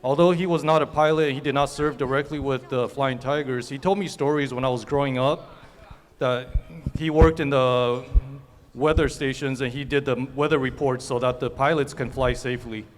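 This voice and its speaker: A man from Taiwan giving an earnest, somewhat halting speech at a forum. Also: medium pitch, fairly even intonation. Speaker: guest speaker. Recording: reverberant hall.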